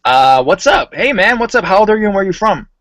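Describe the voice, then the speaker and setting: A man's voice: high-pitched, fast talker, dry recording. Slangy casual male host, dry sound. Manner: relaxed and slangy, calls people bro. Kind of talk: casual voice-chat hosting.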